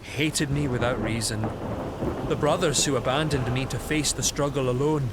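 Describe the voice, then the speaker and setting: A man playing a bitter, scornful young prince bent on vengeance, speaking in a cold, deliberate, mocking way in an audio drama. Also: mid-range voice, very noisy, close to an everyday voice. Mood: cold bitterness. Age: young adult.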